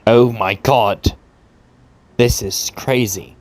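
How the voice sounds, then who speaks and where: A man's voice: medium pitch, fairly even intonation, very slow. A man giving casual, goofy game commentary, reading dialogue aloud and wryly talking back to the characters. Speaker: gaming video creator playing and commenting. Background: game music.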